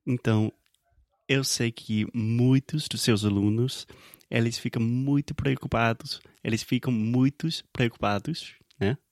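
An American man, a native English speaker, co-hosting a casual podcast and speaking Portuguese as a learner, relaxed and thinking aloud with fillers. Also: medium pitch, unhurried, no room sound, clean studio sound. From United States. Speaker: podcast co-host, English teacher.

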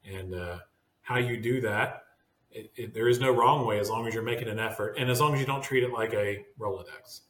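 A man's voice: fairly low pitch, brisk, a bit bright, roomy sound. A man hosting a business podcast roundtable, talking casually and rambling with fillers and restarts. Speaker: podcast host.

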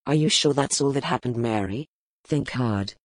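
A woman voicing a gentle, grave duke who speaks in a calm, measured way. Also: rumbling low, no echo, clean studio sound, voice pushed down. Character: gentle grave male duke, lord of the castle.

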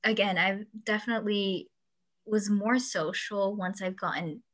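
A woman's voice: mid-range voice, steady tone, measured pace, a bit bright. A young woman whose first language is Urdu, chatting casually as a podcast host and often quoting people. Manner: chatty, laughs often, quotes people in acted voices. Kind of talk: casual chat.

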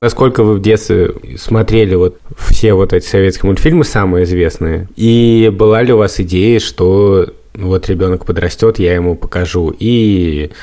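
Male podcast host, fairly low voice, conversational and anecdotal. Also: moderate pace, few pauses, warm tone. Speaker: podcast host leading the discussion. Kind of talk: casual chat, anecdotes.

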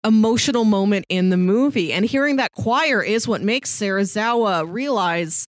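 An American woman co-hosting a casual film discussion podcast, with an animated, storytelling way of talking. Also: mid-range voice, moderate pace, dry recording, clean studio sound. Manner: animated storytelling, laughing through sentences, voices imagined quotes. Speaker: podcast co-host.

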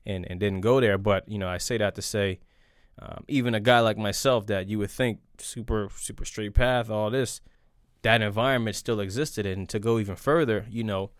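Low, smooth-voiced man hosting a candid chat. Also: normal speed, no room sound, studio quality. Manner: relaxed, candid, frequent fillers and restarts. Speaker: podcast host. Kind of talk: casual interview conversation.

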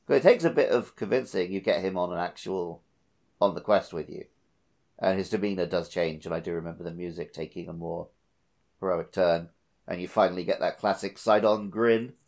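A man's voice: deep voice, moderate pace, a little mellow. A man co-hosting a podcast, talking casually about a video game. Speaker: podcast co-host.

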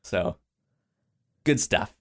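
A man co-hosting a casual film chat, speaking haltingly and often repeating words mid-sentence. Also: a bit bright. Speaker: podcast co-host.

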